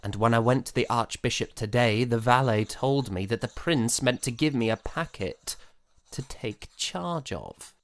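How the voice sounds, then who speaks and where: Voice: medium pitch, unhurried, lightly acted. An actor playing an aggrieved, spirited young composer of the 1780s in a florid, theatrical period audio drama style. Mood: wistful, earnest. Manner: theatrical, florid, hesitant sputtering. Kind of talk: period audio drama acting, letter narration.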